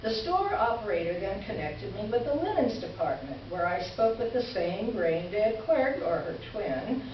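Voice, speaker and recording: A woman's voice: mid-range voice, very animated, moderate pace, close to an everyday voice. A woman playing an indignant, exasperated writer reading her sarcastic complaint letter aloud in staged reader's theater. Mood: dry indignation. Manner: pointed, exasperated, dryly sarcastic. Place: indoor stage presentation before an audience. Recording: echoey hall from far off, dull, faint hiss.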